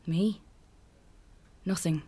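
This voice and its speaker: A young Irish woman working in a shop. Her voice is a little low, with an anxious, downbeat and guarded manner suited to naturalistic radio drama. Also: very slow, warm tone, slightly rough, exaggerated voice. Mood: guarded, sullen. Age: young adult. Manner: guarded, apologetic.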